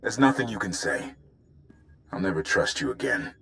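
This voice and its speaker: An actor playing a disillusioned but resolute pilot in a video game, bitter and resigned. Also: male voice, deep voice, very animated, moderate pace, fairly bright tone, soft background music, exaggerated voice. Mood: bitter resignation. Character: disillusioned, resolute male rebel pilot quitting his cause.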